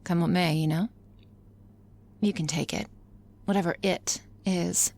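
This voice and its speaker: A woman's voice: fairly low pitch, gravelly, darkish tone. A woman playing a blunt, confident female office boss who talks frankly and wryly in an intimate ASMR roleplay. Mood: earnest. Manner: frank, profane, wry, musing with hesitant pauses. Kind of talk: intimate ASMR roleplay, conversational monologue to listener.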